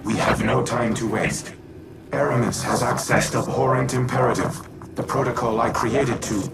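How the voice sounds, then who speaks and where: An actor playing a solemn, self-sacrificing military artificial intelligence with a gravelly voice and formal, resolute, measured speech in a game cutscene. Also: male voice, fairly low pitch, poor audio. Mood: urgent, commanding. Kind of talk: scripted game cutscene acting. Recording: loud music behind voice.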